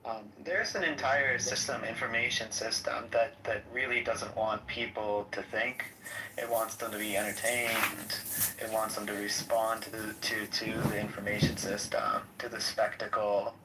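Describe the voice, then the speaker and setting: A man hosting a casual political talk, halting and repeating words as he thinks aloud. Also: lowish voice, flat delivery, bright, forceful tone. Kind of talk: casual political talk in an audio chat room. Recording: distant mic with faint noise.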